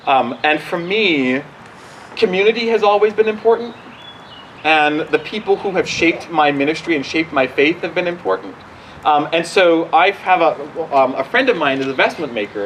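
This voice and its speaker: Halting, conversational man in his forties, higher-pitched voice. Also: a bit bright, slight background noise, poor audio. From Barbados. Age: 40s. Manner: halting, conversational, often restarting sentences. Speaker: bishop answering questions. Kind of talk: informal question-and-answer talk. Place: outdoors at a church gathering.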